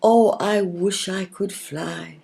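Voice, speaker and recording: A woman's voice: mid-range voice, fairly even intonation, slow, creaky, mellow, pitched above the performer's own voice. A woman voicing an eager, wishful little female mud fairy from a children's story, earnest and lilting. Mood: longing.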